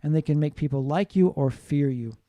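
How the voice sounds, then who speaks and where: Man, English teacher, lecture, explanatory, deep mellow timbre, dry close recording. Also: normal speed, dry recording. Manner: explanatory, often checks in with "right?". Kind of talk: classroom lecture.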